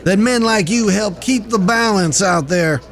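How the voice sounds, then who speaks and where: Man acting a high-voiced, folksy Wild West marshal. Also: a little slow, fairly bright tone. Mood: wry. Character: jovial, foul-mouthed frontier US marshal, old frenemy. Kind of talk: Western audio drama acting.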